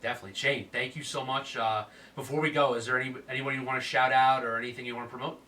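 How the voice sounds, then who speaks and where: A man's voice: mid-range voice, level tone, fast, sharp tone, far from the mic. A man hosting a casual chat, sounding stuffed up from a cold, with a laid-back manner. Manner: laid-back, lots of fillers and laughs. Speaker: podcast host.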